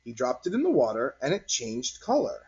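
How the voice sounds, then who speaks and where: A man reading a children's picture book aloud as narrator, in an expressive, deliberate storytelling manner. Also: mid-range voice, husky. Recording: dull lo-fi recording.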